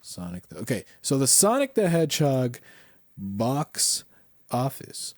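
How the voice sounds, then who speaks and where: A man's voice: mid-range voice, wildly swooping pitch, unhurried, no echo, clean studio sound. A man co-hosting a podcast in casual chat, blunt and opinionated, with frequent swearing. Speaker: podcast co-host. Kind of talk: casual pop culture chat.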